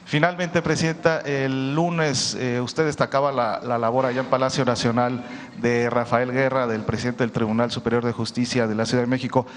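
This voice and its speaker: A man's voice: mid-range voice, little pitch movement, normal speed. A man reporter asking long, hesitant questions at a press conference. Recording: echoey hall, faint noise.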